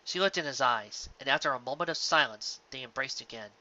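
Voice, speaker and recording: A man reading scripted fiction as a narrator, in a measured, earnest, dramatic style. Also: mid-range voice, bright, forceful tone, dull audio.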